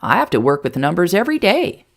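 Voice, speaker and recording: Woman doing a folksy kind grandpa, easygoing, kinda gravelly. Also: lowish voice, wildly swooping pitch, fast, heavily acted. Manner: folksy and reassuring. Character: kindly grandfatherly male town mayor.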